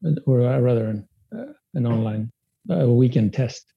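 A man's voice: mid-range voice, expressive, normal speed, vocal fry, slightly rough, highs cut off. A man whose first language is Spanish, raised in Sweden, answering interview questions in a hesitant way with many restarts and fillers. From Spanish-speaking country, raised in Sweden. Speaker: guest. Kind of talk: casual interview.

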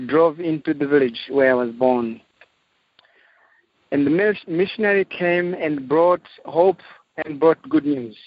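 A man from Zimbabwe, a missionary guest, telling his testimony in a slow, measured way with frequent pauses. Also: fairly high pitch, no echo. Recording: dull, dry audio.

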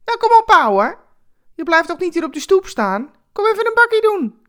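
A woman's voice: very high voice, sing-song, moderate pace, fairly bright tone, voice pushed up, heavily acted. A woman voicing a cheerful, chatty homeless man with folksy, familiar street talk in a told story. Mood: cheerful, inviting. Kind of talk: storytelling character voice.

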